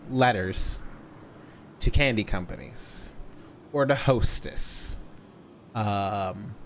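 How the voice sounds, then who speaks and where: A man telling a story casually to a livestream audience, deliberately stretching words for emphasis. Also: mid-range voice, a little hiss or hum.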